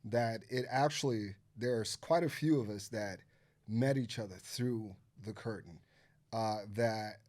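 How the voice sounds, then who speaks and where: A man's voice: on the low side, unhurried, a little mellow. Loose, swearing Nigerian-born man with American accent. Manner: loose and profane, heavy on filler phrases. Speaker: podcast guest. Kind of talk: casual conversation.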